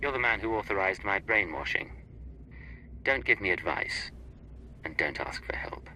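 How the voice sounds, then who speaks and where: An actor playing a cool, bitter secret agent in a space opera video game, speaking in measured, clipped lines. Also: male voice, sits low, very animated, pushed and bright, slight background noise, exaggerated voice, poor audio. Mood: cold, bitter. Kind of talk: cinematic video game acting.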